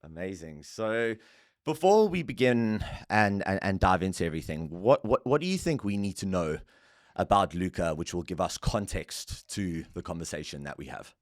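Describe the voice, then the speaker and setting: A man's voice: low-pitched, big pitch swings, moderate pace, no room sound, studio quality. A man hosting a podcast, introducing guests and asking questions in a friendly way, often repeating words as he stumbles into a question. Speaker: podcast host. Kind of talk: podcast interview.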